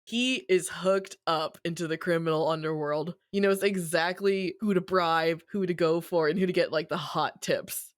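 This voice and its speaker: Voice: mid-range voice, steady tone, moderate pace, hardly any pauses, dry recording, clean studio sound. A podcast co-host giving a casual, colloquial story recap peppered with swearing.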